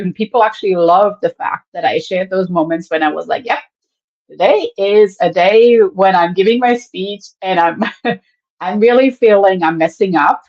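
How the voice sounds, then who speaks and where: A woman from Germany with a German accent, speaking as an animated podcast guest who laughs often and lets her pitch swing widely. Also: lo-fi sound. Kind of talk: casual interview talk.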